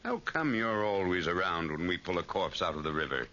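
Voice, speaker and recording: An actor playing a gruff, commanding police chief who speaks brusquely, in 1940s radio drama style. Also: male voice, sits low, sing-song, fairly quick, bright, forceful tone, heavily acted. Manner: brusque, barking orders. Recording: muffled, hissy 1940s radio recording.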